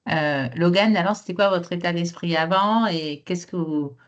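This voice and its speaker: A woman's voice: lowish voice, moderate pace. A woman moderating a webinar, asking short, polite questions. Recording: muffled video call audio.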